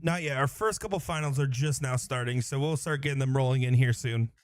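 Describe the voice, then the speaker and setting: A man calmly lays out the brackets and results at a darts tournament. His voice sits at an ordinary pitch, and the sound is close and dry. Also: fairly quick, dry recording. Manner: steady, informative, fills in bracket details. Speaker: darts commentator. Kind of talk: live sports commentary.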